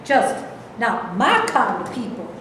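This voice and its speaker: An actress playing a sharp-tongued, sarcastic woman who scorns foolish men, in a staged reading of a play. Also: medium pitch, big pitch swings, slow. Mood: mocking. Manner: sarcastic, cutting. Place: indoor stage reading, live room. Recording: very echoey hall, faint background noise.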